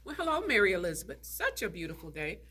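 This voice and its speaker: A woman's voice: mid-range voice, wildly swooping pitch, quick pace, sharp tone, slightly rough, heavily acted. A woman reading the part of a haughty, tart, nostalgic older society lady in a stage play. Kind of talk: stage play table read.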